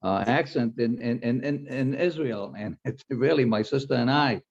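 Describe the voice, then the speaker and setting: A man casually sharing personal memories as a class participant, halting as he searches for words, in dull lo-fi sound. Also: medium pitch, gravelly, darkish tone.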